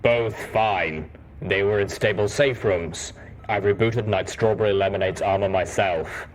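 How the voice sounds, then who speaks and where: A man voicing a gruff, stoic male soldier pony in a story, with a deep rumble and a blunt manner. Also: a little slow, lightly acted. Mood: blunt, measured.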